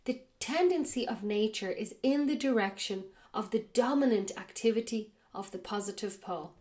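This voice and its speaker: A woman hosting a podcast, reading a spiritual text aloud in a slow, measured way and then commenting on it, her voice a little raspy from a cold. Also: medium pitch, a little mellow. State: has a cold. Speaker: podcast host.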